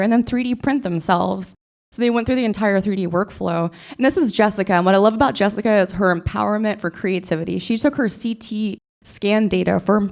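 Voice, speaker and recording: A woman's voice: lowish voice, creaky, darkish tone. A young woman from Oklahoma, a librarian giving a short conference presentation, talking earnestly and enthusiastically with small restarts. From Oklahoma, USA. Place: conference stage.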